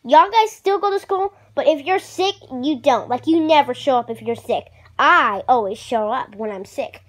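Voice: sits high, normal speed, fairly bright tone. A child or young teen acting out a homemade puppet show skit. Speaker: puppeteer voicing all the characters. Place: bedroom at home. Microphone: handheld phone camera.

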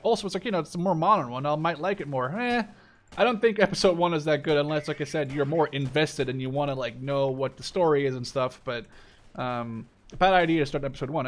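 A man in his twenties with a fairly high-pitched voice talks over a video game. He rambles casually as the host of a let's play, circling back over his own phrases and swearing offhandedly. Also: a bit bright. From Netherlands. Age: 20s.

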